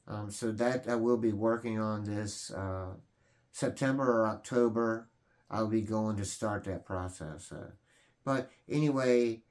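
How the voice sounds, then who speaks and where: A man's voice: mid-range voice, a little mellow. Earnest rambling man in his forties. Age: 40s. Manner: rambling, many fillers and restarts, earnest. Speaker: channel host. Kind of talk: casual vlog talk.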